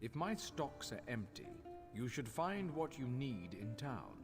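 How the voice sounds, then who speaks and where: Fantasy master cook, animated intonation, instructive, matter-of-fact, video game dialogue, music behind. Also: male voice, mid-range voice, measured pace, in a large hall. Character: instructive male cook mentor, plain-spoken fantasy tradesman. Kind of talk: video game character acting.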